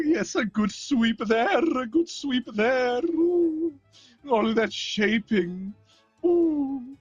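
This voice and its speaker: Villain barber voiced by a performer: cackling and pompous, husky voice, unhurried delivery. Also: medium pitch, darkish tone, dry recording, highs cut off, heavily acted. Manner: archaic pompous speech, frequent cackles.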